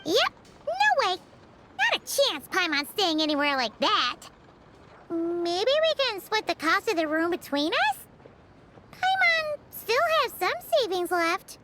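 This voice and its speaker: A woman voicing a tiny, perky, childlike fairy companion in a video game, with a squeaky, bubbly, expressive voice. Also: takes it slowly, background noise, exaggerated voice. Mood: wary, indignant, then grudging, generous. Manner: bubbly, expressive, refers to herself in third person. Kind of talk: video game character acting.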